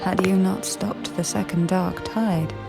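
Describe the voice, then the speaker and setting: A woman playing a resolute young cursed maiden warrior in a video game, gentle and gracious, speaking in a measured, earnest, formal way. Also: fairly low pitch, steady tone, creaky, over music. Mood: weary, grateful.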